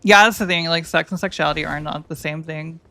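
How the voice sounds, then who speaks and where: A woman's voice: low-pitched, brisk, bright, forceful tone. A woman streamer with a feminine voice, chatting casually and rambling with many restarts while she plays. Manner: rambling, self-interrupting, joking. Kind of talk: casual gaming stream chat.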